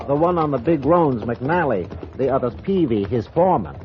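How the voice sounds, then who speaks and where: Noisy, muffled old radio audio with a man playing an Old West barkeep. His voice sits a little low and he talks in a folksy, easygoing, laconic way. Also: expressive, moderate pace, lightly acted. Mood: matter-of-fact. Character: folksy, easygoing small-town frontier bartender. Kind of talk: old-time radio western acting.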